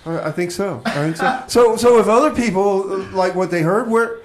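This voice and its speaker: A man's voice: high-pitched, normal speed, slight background noise. A man hosting a casual live radio interview, jokey and loose, often repeating himself. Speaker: radio show host.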